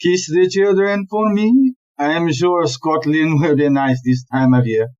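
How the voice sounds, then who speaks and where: A slightly dull recording of an audio drama. A woman plays a male police chief inspector, smooth-talking and dismissive, a philanderer who speaks slowly in a lowish register. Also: warm tone. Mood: suave. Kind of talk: audio play acting.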